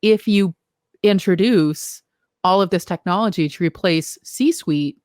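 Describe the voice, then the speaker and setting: Low-voiced woman co-hosting a podcast. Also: a little slow, a little mellow, no echo, studio quality. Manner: practical, questioning, uses filler "you know". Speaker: podcast co-host. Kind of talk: casual conversational podcast chat.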